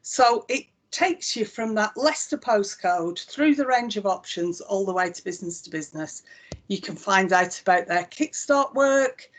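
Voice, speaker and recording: A woman's voice: mid-range voice, animated, moderate pace. Lancashire woman demoing a website. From Northwest England. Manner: conversational, walking through each click with frequent ums. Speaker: chief executive demonstrating a website. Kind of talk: live software demonstration.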